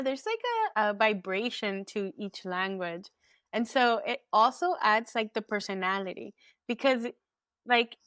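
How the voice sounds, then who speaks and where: A woman host, a native Spanish speaker, muses aloud in easygoing English and leans on lots of "like." Her voice sits fairly high. The sound is dry, with little room echo. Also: fairly bright tone. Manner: reflective and chatty, many "like" fillers. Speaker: podcast host. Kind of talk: casual conversation.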